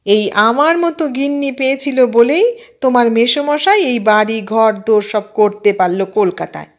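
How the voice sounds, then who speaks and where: A woman's voice: on the high side, animated, a little slow, pitched above the performer's own voice. A woman voicing an older housewife in an audio story, a boastful, complaining aunt who scolds and belittles her husband. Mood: boastful, lecturing. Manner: complaining, self-important, emphatic scolding.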